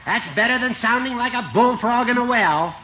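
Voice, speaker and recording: An actor playing a boastful old small-town judge with a quavering, carrying voice, in vintage radio comedy style. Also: male voice, very high-pitched, normal speed, bright, forceful tone. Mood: boastful, needling. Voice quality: quavering, shivery voice that carries. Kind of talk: 1940s-50s radio comedy acting. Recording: dull, hissy old radio recording.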